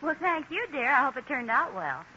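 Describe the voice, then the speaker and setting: Bright, wry radio sitcom wife. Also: female voice, high voice, big pitch swings, brisk, full character voice. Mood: puzzled, dry. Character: wry, level-headed suburban wife. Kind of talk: 1940s radio sitcom acting. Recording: noisy muffled 1940s radio recording.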